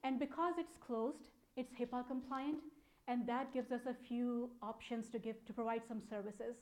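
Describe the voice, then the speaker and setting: Higher-pitched Pakistani-accented woman presenting. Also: fairly even intonation, normal speed, darkish tone. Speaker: speaker, data analytics program manager. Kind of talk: conference talk, informal presentation.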